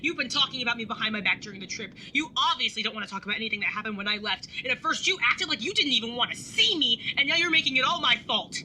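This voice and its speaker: A woman acts out an exasperated older sibling in an audio drama, dry and sarcastic. The voice is very bright and forceful, that of a young adult, with a faint rumble of noise behind it. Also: expressive, very fast, hardly any pauses. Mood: angry, hurt. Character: exasperated, wry older sibling, narrating a road trip. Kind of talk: audio drama acting and first-person narration.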